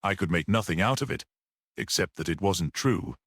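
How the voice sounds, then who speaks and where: A man voicing a gentle, shrewd priest detective in a story, speaking simply and earnestly with quiet certainty. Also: low-pitched, expressive, moderate pace, no echo, studio quality. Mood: reflective.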